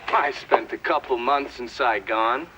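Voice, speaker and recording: An actor playing a cocky former spy giving testimony in a video game, blunt, dry and unbothered. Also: male voice, medium pitch, a little slow, background noise, natural voice. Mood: dry, assured. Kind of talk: video game documentary-style testimony acting.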